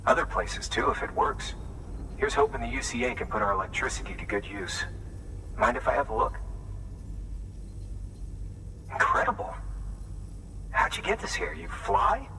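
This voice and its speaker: An actor playing an earnest, plain-spoken wind farm worker in a video game, grateful and weary. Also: male voice, medium pitch, wildly swooping pitch, moderate pace, distant mic. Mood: relieved, impressed.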